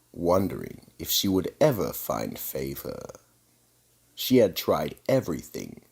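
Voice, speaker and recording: A man playing a sinister keeper of a vault, narrating radio mystery theater in an ominous, theatrical, gravelly voice. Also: rumbling low, darkish tone, heavily acted. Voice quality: gravelly, husky. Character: sinister slow male horror host, keeper of a vault. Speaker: host and narrator.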